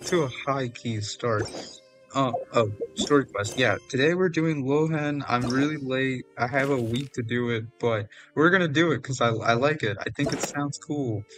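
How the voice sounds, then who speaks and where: A man's voice: medium pitch, moderate pace, fairly bright tone, soft background music. A man giving casual, rambling, self-deprecating commentary on a livestreamed game. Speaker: streamer.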